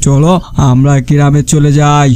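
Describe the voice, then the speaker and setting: A man's voice: fairly high pitch, monotone, moderate pace, mellow, clear, resonant tone, no room sound, close to an everyday voice. A man voicing an easygoing village woodcutter in a cartoon, agreeable and colloquial. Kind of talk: cartoon character acting.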